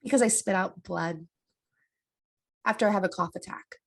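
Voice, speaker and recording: A woman's voice: mid-range voice, moderate pace, creaky. A congested young woman co-hosting a casual podcast, playful and agreeable as she tells stories. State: congested from a cough. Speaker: podcast co-host. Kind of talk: casual chat.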